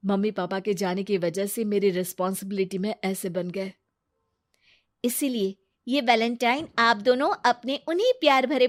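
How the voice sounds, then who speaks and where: A woman's voice: on the high side, close to an everyday voice. A woman voicing an earnest, devoted young adult grandson in a cartoon moral story, speaking tenderly.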